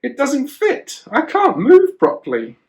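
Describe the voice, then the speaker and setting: A man's voice: very high voice, huge pitch swings, unhurried, darkish tone, pitched above the performer's own voice, full character voice. A man voicing a brave, determined young shepherd boy in a storybook, exclaiming with earnest defiance. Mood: frustrated complaint.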